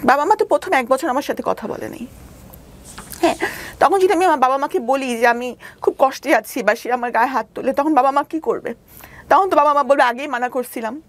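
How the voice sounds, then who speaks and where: A woman with a Bangladeshi accent giving a candid, personal account in a radio interview, halting at times and re-explaining herself as she goes. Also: high-pitched. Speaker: interview guest telling her life story; doctor. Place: radio studio.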